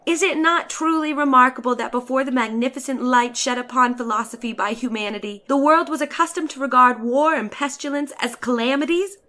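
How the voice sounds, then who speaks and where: Theatrical woman reader, slightly high voice. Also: a little fast, few pauses, pushed and bright. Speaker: narrator of a classic short story. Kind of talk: dramatic audiobook reading of a satirical letter.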